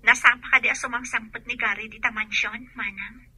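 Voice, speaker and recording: An actress playing a grieving young woman, a daughter abroad, who speaks in a soft, polite way in a radio drama. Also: on the high side, fairly even intonation, brisk, slightly rough, exaggerated voice. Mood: instructing.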